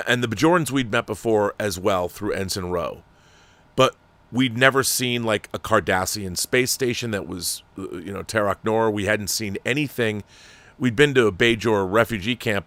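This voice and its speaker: A man's voice: on the low side, a bit bright, no room sound. A man hosting a show that reads viewer letters, giving emphatic, critical commentary and often restarting his sentences. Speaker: host reading viewer letters. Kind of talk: opinionated commentary.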